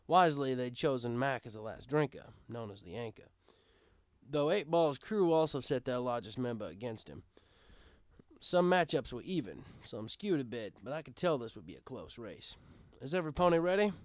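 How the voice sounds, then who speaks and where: A man's voice: mid-range voice, expressive, moderate pace, no echo, clean studio sound. A man reading a scripted first-person story as an audiobook narrator, with dramatic emphasis. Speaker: audiobook reader.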